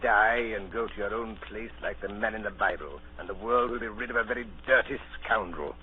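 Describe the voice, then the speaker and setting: A stern country doctor, acted by a man in a vintage radio play. He is blunt and scolding, with brisk authority. The recording is narrow, with faint noise. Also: on the low side, natural voice. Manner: blunt, lecturing. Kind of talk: 1930s radio acting. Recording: thin, noisy old radio recording.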